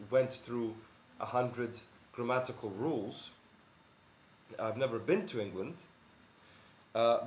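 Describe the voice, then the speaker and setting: A man from Bosnia, his tongue, giving an earnest, measured monologue with many pauses and fillers. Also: medium pitch, a little mellow, roomy sound. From Bosnia and Herzegovina. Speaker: video commentator. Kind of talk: monologue to camera.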